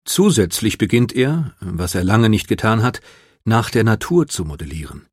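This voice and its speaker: A man reading scripted biographical narration as a documentary narrator, measured and factual. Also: fairly low pitch, animated, husky, no echo, studio quality.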